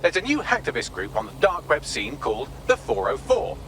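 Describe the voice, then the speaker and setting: A man's voice: a little high, wildly swooping pitch, a little fast. A man voicing a smug, sardonic British AI assistant in a video game, with a wry, wordy, theatrical manner. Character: cheeky sardonic male AI assistant, quippy and smug.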